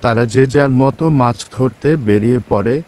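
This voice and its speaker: A man's voice: medium pitch, fairly even intonation, moderate pace, a little mellow, slight background noise. A man narrating a scripted village folk tale as a storyteller.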